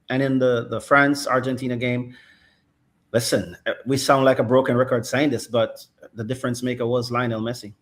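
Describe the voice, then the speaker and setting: Thoughtful middle-aged male football pundit. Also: mid-range voice. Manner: thoughtful, frequent "right?" and "um". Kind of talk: casual analytical chat.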